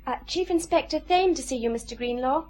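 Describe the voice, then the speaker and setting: A woman acting a polite, high-voiced office receptionist. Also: brisk, darkish tone, natural voice. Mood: polite, tentative. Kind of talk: radio drama acting.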